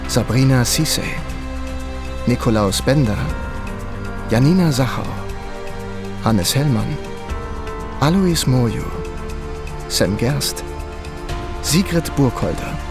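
A man reading scripted radio drama credits as an announcer, in a measured way. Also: mid-range voice, expressive, music in the background.

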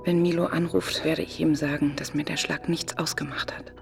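Troubled wife, radio drama, low creaky voice, hushed inner monologue, music underneath. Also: female voice, flat delivery. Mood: brooding. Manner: slow, introspective. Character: troubled married woman, inwardly obsessive, hiding a secret.

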